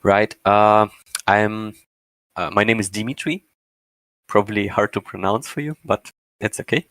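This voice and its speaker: Guy in his 20s, hesitant, fumbling for words, lowish voice, dry mic. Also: fairly even intonation, measured pace, a bit bright, no echo, poor audio. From Russia. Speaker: guest. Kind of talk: casual interview answers.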